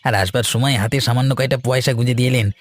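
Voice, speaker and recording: A man's voice: mid-range voice, steady tone, quick pace, lightly acted. A man voicing a deferential village fish seller in a cartoon, hesitant and wheedling as he asks. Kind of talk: cartoon story voice acting.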